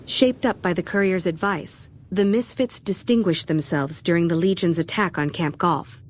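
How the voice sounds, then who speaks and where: A woman playing a composed, measured narrator in a video game epilogue. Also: lowish voice, lightly acted. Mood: reflective.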